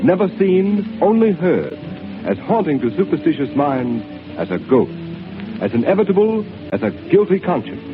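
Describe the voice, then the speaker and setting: A man's voice: a little high, big pitch swings, moderate pace, over music. A man announcing and reading an ad in an emphatic style on a 1930s radio show, heard on a tinny old recording. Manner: emphatic, salesy.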